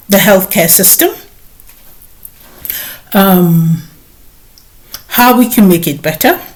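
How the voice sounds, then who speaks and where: A middle-aged woman from Ghana, a nurse hosting her own vlog, talking slowly with many hesitant pauses. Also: mid-range voice. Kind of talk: personal talk to camera.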